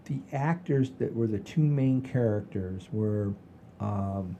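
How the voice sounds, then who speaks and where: A man's voice: fairly low pitch, slow pace, creaky, warm tone, a little hiss or hum. A man in his sixties hosting a casual comedy podcast, telling stories in an emphatic, repetitive, freely swearing way. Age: 60s. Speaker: podcast host. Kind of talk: casual comedic storytelling chat.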